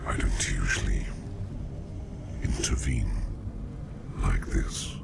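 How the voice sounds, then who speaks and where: A man playing a mysterious, formal plague doctor in an ASMR roleplay, whispering in slow, archaic phrases with a breathy, gravelly voice. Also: extremely low pitch, background noise, heavily acted. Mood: calm, soothing. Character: mysterious plague doctor hypnotist visiting a dream, formal, protective.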